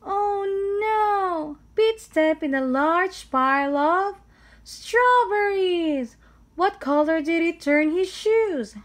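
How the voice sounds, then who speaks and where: A woman reading a children's picture book aloud in a deliberate way, putting exclaimed questions and answers to young listeners. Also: very high voice, lively intonation. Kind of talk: read-aloud children's story narration.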